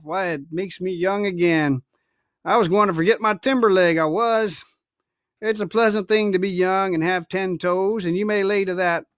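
A man's voice: high-pitched, a bit bright, no echo, studio quality. A man doing a wheedling one-legged old pirate cook. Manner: wheedling, folksy sailor's talk.

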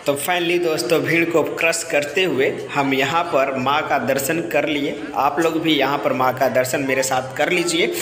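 A man's voice: fairly high pitch, fairly even intonation, normal speed, few pauses, fairly bright tone. A man, speaking casually to viewers as a vlogger on a phone held at arm's length outdoors. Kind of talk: casual festival vlog, addressing viewers. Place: crowded outdoor festival ground at night. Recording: echoey, reverberant phone recording outdoors.

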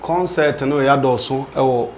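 A man's voice: medium pitch, a little slow, slight background noise. A middle-aged man with a Ghanaian accent discussing politics emphatically, asking pointed questions and repeating his phrases. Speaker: political commentator. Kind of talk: animated political discussion, switching between languages. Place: indoor studio set.

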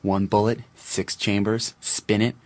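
A callous young ringleader in a cartoon comedy, played by a man, with a fairly low voice. He goads and commands his friends like he owns the place. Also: level tone, unhurried, a little mellow, dry recording. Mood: goading. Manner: goading, commanding. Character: bossy, callous young man hosting a sleepover. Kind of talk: animated dark comedy dialogue.

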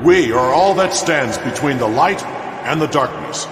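An actor playing a stern, resolute middle-aged military leader in a science fiction game, with declamatory delivery. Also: male voice, mid-range voice, expressive, a little slow, natural voice. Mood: rallying, resolute. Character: stern, commanding noble military leader, male. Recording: echoing hall under loud music.

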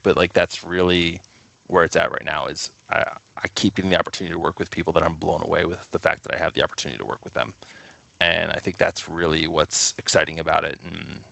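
A man's voice: low voice, creaky, a bit bright, no room sound. A man speaking casually as a guest in an interview, talking about running a small record label.

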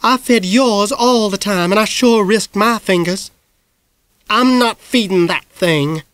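A man doing a shrill put-upon older lady. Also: slow, a bit bright, no echo. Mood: whiny. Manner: whiny, wailing.

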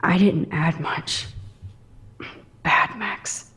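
A woman voicing a shy, thoughtful teenage girl in a video game, talking gently and often in a soft, self-critical inner monologue. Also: on the low side, steady tone, extremely slow, slightly rough, heavily acted. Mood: rueful.

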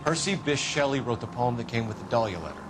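An actor playing an earnest, by-the-book American homicide detective in a video game, clipped, formal and businesslike. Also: male voice, medium pitch, lively intonation, fast, noisy background, natural voice. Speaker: police detective. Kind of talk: video game dialogue, crime drama.

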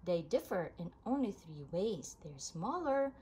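Slow, lively woman reading to children. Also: medium pitch. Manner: animated storybook delivery with emphasis for young listeners. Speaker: reader of a children's book. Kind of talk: expressive read-aloud of a children's science book. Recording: clearly noisy home recording.

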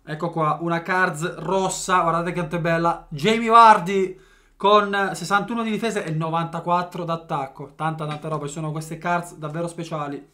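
A man in his twenties chatting excitedly as a football fan vlogger, slangy and repeating phrases for emphasis. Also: high voice. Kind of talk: excited vlog chat and live reaction. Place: sponsor segment in a room at home, then a busy football stadium. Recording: music and crowd noise behind.

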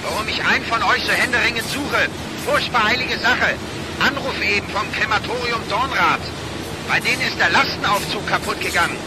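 An actor playing a harried, bossy company boss in a radio crime drama, firing off urgent, clipped questions and orders. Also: male voice, sits high, fairly quick, very noisy, natural voice. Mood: brisk, harried.

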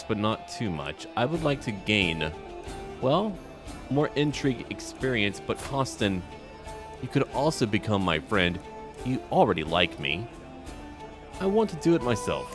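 A man with a deep voice narrates his strategy game as a roleplay. His pitch moves around expressively as he muses aloud in short, clipped remarks. Faint music plays behind him. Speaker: gaming video host.

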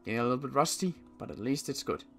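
Man acting out a brooding, animated survivor muttering suspiciously. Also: mid-range voice, racing, gravelly, soft background music. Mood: resolved, menacing. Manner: muttering to himself, stammering and repeating words. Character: suspicious, agitated male survivor scheming in a zombie apocalypse. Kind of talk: improvised game roleplay monologue.

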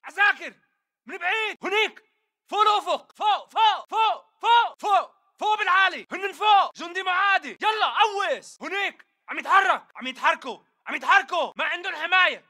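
An aggressive militant in a shooter game, barking urgent battle callouts with a Levantine Arabic accent. His voice is strained very high and rattles along fast, with a bright, forceful edge. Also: heavily acted. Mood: alarmed, urgent. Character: hostile militant fighter barking combat callouts. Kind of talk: shouted video game battle chatter.

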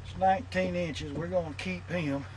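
A man's voice: high-pitched, fairly even intonation, normal speed. A man giving a plain-spoken, casual how-to demonstration of rigging a fishing lure on a boat. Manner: plain-spoken, instructive, talks while handling tackle. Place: outdoors on a boat on open water. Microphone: camera mic, filmed close up. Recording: noisy outdoor camera audio.

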